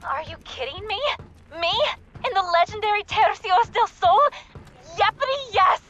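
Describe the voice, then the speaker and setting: A bubbly high voiced game sidekick girl, teasing and easily excited. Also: moderate pace, highs cut off. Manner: playful, excitable. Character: bubbly, impatient, teasing young female sidekick. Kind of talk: video game voice acting.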